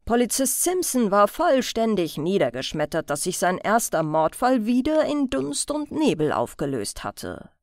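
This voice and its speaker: A woman reading a crime story as an audiobook narrator in a measured, careful storytelling manner. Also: mid-range voice, huge pitch swings, no room sound, studio quality.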